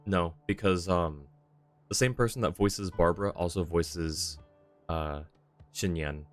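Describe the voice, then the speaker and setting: A man's voice: low voice, measured pace, faint music behind. A young man streaming a game live, commenting casually and chatting with his viewers.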